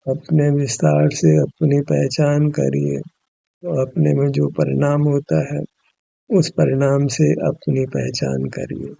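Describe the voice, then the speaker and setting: A man leading a guided meditation as a spiritual teacher, in a measured, instructive way, on a dull, muffled recording. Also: on the high side, level tone, gravelly, dark tone, no echo.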